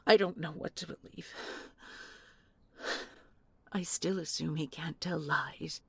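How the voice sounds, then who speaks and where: Casting: actress for a deposed female spy commandant, lowish and very husky, curt, defensive, bitter, sci-fi audio drama. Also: a bit bright, no room sound, exaggerated voice. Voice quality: husky, breathy, gravelly. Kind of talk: audio roleplay acting.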